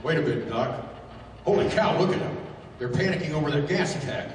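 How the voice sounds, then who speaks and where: A man acting a gruff, loyal, excitable adventurer's sidekick in a 1930s-style pulp radio drama. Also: on the high side, fairly quick, natural voice. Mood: blunt, excited. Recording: noisy, very echoey, distant hall.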